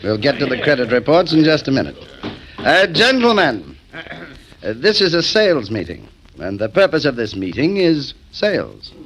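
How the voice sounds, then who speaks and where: An actor playing a self-important, halting company boss in a 1940s radio comedy sketch. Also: male voice, medium pitch, sing-song, a bit bright. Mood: pompous, official. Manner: pompous, halting. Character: formal, self-important male company boss chairing meeting. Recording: faintly noisy vintage radio recording.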